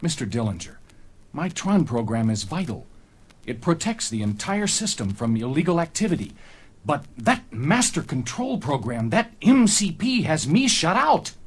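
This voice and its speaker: A man's voice: a little high, big pitch swings, normal speed, husky. A man playing an earnest, determined programmer hero on a read-along record, with emphatic delivery. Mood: frustrated.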